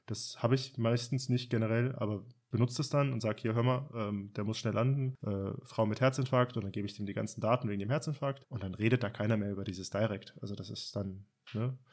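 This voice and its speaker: A man's voice: on the low side, steady tone, a little fast, hardly any pauses, darkish tone. A man who works as an air traffic controller, explaining his work in casual podcast chat with hedged, practical detail.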